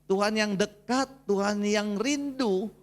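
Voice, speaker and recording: A man's voice: high-pitched, slow. A man of about seventy, preaching a conversational, folksy sermon and checking in with his congregation. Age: 69, nearly 70.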